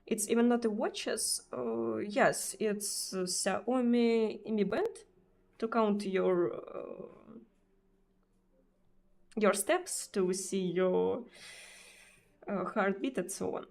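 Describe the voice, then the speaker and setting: A woman's voice: medium pitch, very slow, creaky, pauses often, a little mellow, slight background noise. A Russian woman streamer speaking English with a Russian accent in casual chat, hesitant, with many fillers and repeated words. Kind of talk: casual chat answering viewers.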